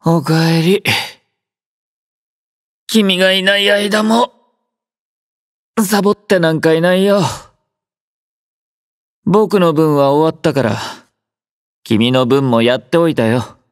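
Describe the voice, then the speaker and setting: An actor playing a gentle, quietly caring young male android soldier in a Japanese game, soft-spoken, drowsy and composed, with a mildly teasing manner. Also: a little high, takes it slowly, pauses often, dry recording, studio quality. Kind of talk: Japanese game character voice acting.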